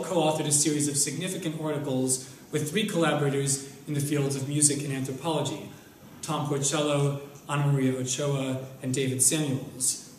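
A man's voice: medium pitch, slight background noise. A young man at a lectern, reading a formal introduction of a guest speaker in a lecture room. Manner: measured, reads from notes at a lectern. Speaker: event host introducing a speaker. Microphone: lectern mic, filmed by far camera. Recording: echoey room from far off.

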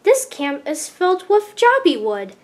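A woman's voice: wildly swooping pitch, unhurried, heavily acted. A woman voicing a brave, eager young male tank engine from a storybook, in an emphatic, exclaiming way. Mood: excited. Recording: clear background noise with low rumble.

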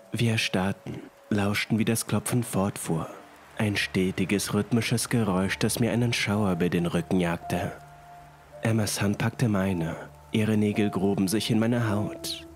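A man's voice: fairly low pitch, a little mellow. A man reading a scripted first-person horror story as narrator. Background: music and rain sounds.

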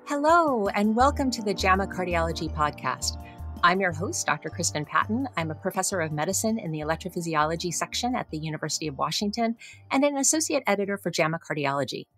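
A woman's voice: on the low side, expressive, quick pace, a bit bright, faint music behind. A middle-aged woman, a physician, hosting a medical interview podcast and putting questions to a guest. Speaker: podcast host, physician and professor.

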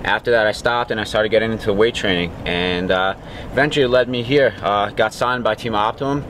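Lowish New man, noisy background. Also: a little fast, a bit bright. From Queens, New York. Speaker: fitness athlete. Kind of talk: casual talk to camera. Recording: clear background noise, urban ambience. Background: background noise.

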